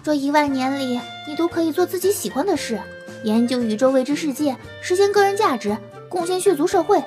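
Audio drama casting: cheeky, naive last human, voiced by a woman; high-pitched, plain-spoken, teasing, music underneath. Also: animated, a little fast, a little mellow. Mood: naive, chatty. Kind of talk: Chinese audio drama acting and narration. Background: background music.

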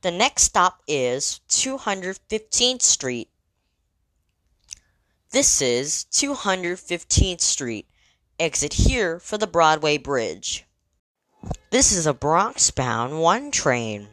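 Low-voiced woman making measured train announcements. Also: big pitch swings, a bit bright, no echo. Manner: measured, clearly segmented, formal. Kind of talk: scripted transit announcements.

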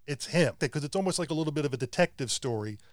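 A man's voice: on the high side, lively intonation, very fast, no echo, studio quality. A man co-hosting a film podcast, talking in an enthusiastic, playful way. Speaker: podcast co-host. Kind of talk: casual film discussion.

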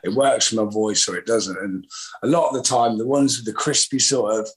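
A man, a musician chatting casually in an interview in a relaxed, rambling, self-deprecating way. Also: mid-range voice, a little fast, rough recording. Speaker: musician guest.

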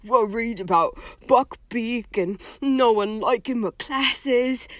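A woman voicing a big, rough-spoken, soft-hearted and despairing gamekeeper man in an audiobook, with drawn-out, emotional speech. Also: fairly high pitch, dry recording, clean studio sound, voice pushed up. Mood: gloomy. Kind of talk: audiobook character voice.

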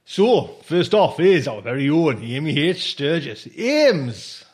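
A chatty man hosting a podcast, with a notably high voice that moves freely up and down. He presents informally and with open enthusiasm, as if talking to regular listeners. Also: sing-song, slow pace, a little mellow, breathy edge. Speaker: podcast host.

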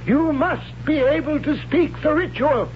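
An actor playing a stern old high priest who drills his son in the holy principles, speaking in a slow, instructive way in a 1970s radio drama. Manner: stern and instructive, reciting ritual. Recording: dull old radio broadcast with faint hum.

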